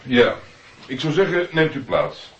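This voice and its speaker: An actor playing a brisk, patronising radio director who instructs and corrects with some impatience, in a radio play. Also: male voice, mid-range voice, sing-song, dull audio, slight background noise. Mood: brisk, businesslike.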